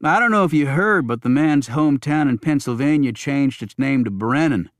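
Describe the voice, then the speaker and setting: Man voicing a wry, wisecracking government agent, lively pitch. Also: moderate pace, no echo, clean studio sound, lightly acted. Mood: amused. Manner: wry, joking, colloquial. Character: cheerful, wisecracking, weary male Secret Service agent.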